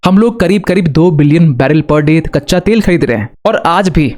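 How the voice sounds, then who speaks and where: A man's voice: a little high, expressive, normal speed, darkish tone. A man presenting explanatory current affairs commentary in a didactic way, mixing in English terms. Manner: didactic, addresses viewers with rhetorical questions.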